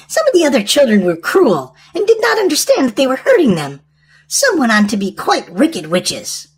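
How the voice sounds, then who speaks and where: A woman reading a storybook aloud to young children in an animated, sing-song way. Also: high voice. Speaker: children's storytime host and reader.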